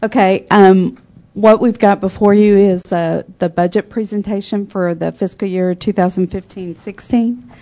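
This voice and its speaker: A woman, a financial services manager, giving a formal budget presentation at a public board meeting, soft-spoken with frequent ums. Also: mid-range voice, fairly even intonation, normal speed.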